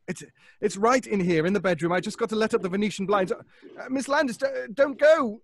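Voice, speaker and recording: A man acting a nervous, scheming fellow in an old-time radio suspense play, with a halting, stammering, pleading delivery. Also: high voice, a crowd in the background, natural voice. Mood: desperate, pleading. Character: nervous, obsessive, scheming middle-class man.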